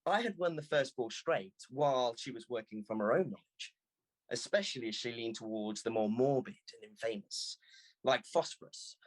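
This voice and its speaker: Male audiobook reader, mid-range husky voice, expressive first-person literary narration. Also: mid-range voice, low-quality recording.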